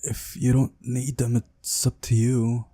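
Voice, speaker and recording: A man voicing a lazy, put-upon, wry young man in a visual novel, with a mellow voice. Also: mid-range voice, flat delivery, a little slow, husky, dry recording. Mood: calling, resigned.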